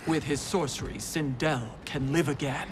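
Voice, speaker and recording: A woman's voice: deep voice, normal speed, background noise, natural voice. An actress playing a stern, commanding warrior queen in a video game, with formal, curt delivery. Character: stern, commanding four-armed female Shokan warrior queen. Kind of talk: dramatic video game acting. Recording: loud music behind the voice.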